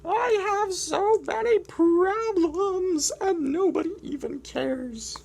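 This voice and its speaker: A woman's voice: extremely high pitch, takes it slowly, vocal fry, darkish tone, pitched above the performer's own voice, full character voice. A woman voicing a grumpy, whiny young penguin in a picture book read-aloud. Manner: complaining, whiny.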